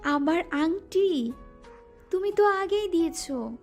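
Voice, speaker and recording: Lady voicing a teasing young wife, high pitched, soft music in the background. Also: animated, takes it slowly, heavily acted. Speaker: voice actor. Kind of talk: audio drama acting. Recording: music bed underneath.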